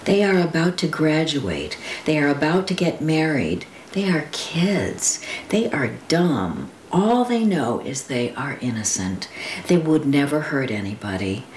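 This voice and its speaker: Low-voiced woman reading aloud, roomy echo. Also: big pitch swings, unhurried, darkish tone. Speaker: poetry reader. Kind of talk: live read-aloud of poems and quotations with informal commentary. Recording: roomy echo with clear background noise.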